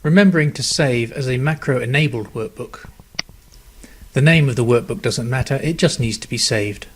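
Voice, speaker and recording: A man narrating a scripted software tutorial in a measured, step-by-step instructional manner. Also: medium pitch, slight background noise.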